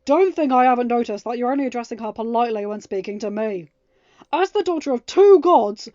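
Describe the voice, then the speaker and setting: A woman's voice: high-pitched, darkish tone, no echo, highs cut off, pitched above the performer's own voice, lightly acted. A woman voicing a devoted young royal man, fiercely protective and emphatic, in a storybook reading. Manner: emphatic, stern, near a growl when angry.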